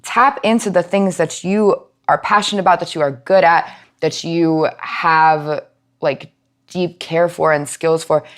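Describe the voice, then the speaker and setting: Low voice woman on a podcast, thinking out loud, pauses mid thought, conversational. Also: steady tone. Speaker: podcast guest, nonprofit outreach and education manager. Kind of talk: thoughtful conversational interview answer.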